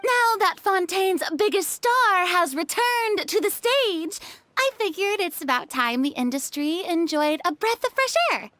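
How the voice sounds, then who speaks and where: A woman's voice: extremely high pitch, expressive, measured pace, fairly bright tone, no echo, full character voice. A woman voicing a theatrical, breezy young female stage star in a game dub, with a dramatic, chatty delivery. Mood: breezy, self-assured. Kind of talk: English game dub voice acting.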